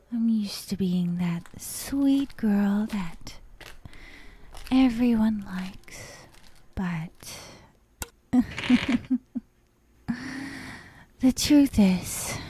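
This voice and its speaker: A woman voicing an obsessive, sadistic young yandere captor in a soft, breathy ASMR roleplay, half-whispering with sweet menace. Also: mid-range voice, exaggerated voice. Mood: sweetly sinister. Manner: slow, breathy half-whispers broken by giggles. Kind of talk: ASMR roleplay, intimate dramatic monologue.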